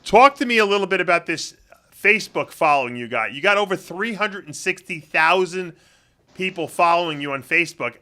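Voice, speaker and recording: A middle-aged man runs a casual interview with a bright, forceful, slightly high voice. He teases his guest in a chatty way and often stumbles and restarts his questions. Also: lively intonation, a little fast. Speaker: interviewer, show host.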